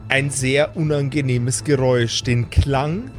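A man's voice: medium pitch, steady tone, a little slow, soft background music. A man acting as game master, improvising the narration of a fantasy tabletop audio game.

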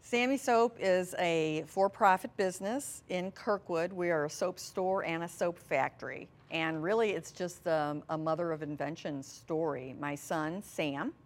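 Female interview guest, deep low voice, business founder, conversational delivery. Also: a little slow, few pauses.

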